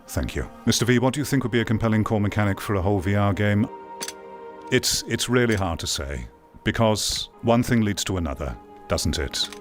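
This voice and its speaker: A man hosting a VR gaming stream, talking to his viewers in an earnest, emphatic way and repeating phrases for stress. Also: low-pitched. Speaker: VR games streamer and video host. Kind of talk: live game commentary talking to viewers.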